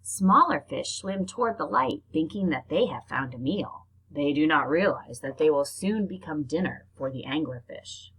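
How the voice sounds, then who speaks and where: A woman reads nonfiction aloud in an expressive, informal way, like reading a book to children. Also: low-pitched, normal speed, hardly any pauses, breathy edge, rough recording. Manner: expressive, informal reactions between passages. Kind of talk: read-aloud narration with casual spoken asides.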